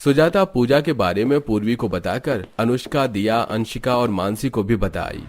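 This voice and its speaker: A man narrating a cartoon family story in a plain, even storytelling voice. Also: medium pitch, moderate pace.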